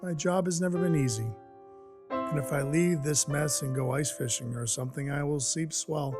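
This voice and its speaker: An actor playing a sardonic dwarf who works as Santa's enforcer, telling his story in a wry, mock-polite deadpan for an audio drama. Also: on the high side, moderate pace, close to an everyday voice. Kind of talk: first-person audio drama narration with dialogue. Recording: music behind the voice. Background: music.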